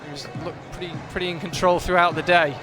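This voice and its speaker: A man's voice: sits high, steady tone, fast, over music. A man doing live commentary on a climbing competition, chatty and analytical, thinking aloud as the action unfolds in a big hall. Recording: loud crowd in a big hall.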